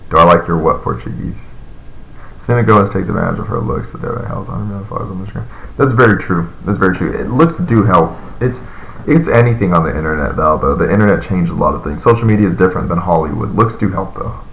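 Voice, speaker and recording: A man's voice: low voice, expressive, quick pace, gravelly, a bit bright, echoey room, slight background noise. A man in his thirties chatting casually on a live stream, reading and answering viewer comments in a loose, rambling way with lots of fillers. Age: 30s.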